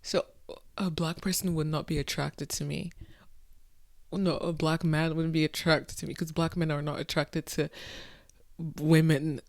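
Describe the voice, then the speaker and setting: A woman talking to her camera late at night, incredulous and exasperated, swinging between soft muttering and sudden loud outbursts. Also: sits low, no room sound, studio quality. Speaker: vlogger. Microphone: phone or camera close up.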